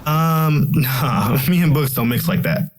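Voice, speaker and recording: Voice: mid-range voice, slow, background noise, close to an everyday voice. An actor playing a flippant, teasing little brother in an audio drama, slangy and smart-alecky. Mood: casual.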